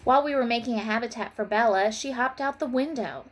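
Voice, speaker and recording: A woman's voice: on the high side, fast talker. Woman teacher, noisy recording. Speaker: third grade teacher. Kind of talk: informal recorded lesson and children's story read-aloud.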